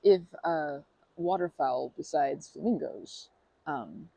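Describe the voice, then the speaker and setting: A woman's voice: sits low, lively intonation, slow, vocal fry, slightly rough, dry recording, low-quality recording. A woman in an ASMR roleplay who talks to the listener in a rambling, bashful and playful way, full of fillers and restarts. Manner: playful and earnest, laughing softly.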